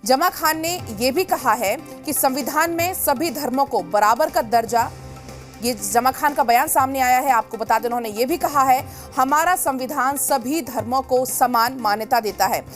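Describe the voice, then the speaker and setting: A woman's voice: high voice, moderate pace, faint music behind. A woman news anchor presenting live television news in an emphatic, repetitive way.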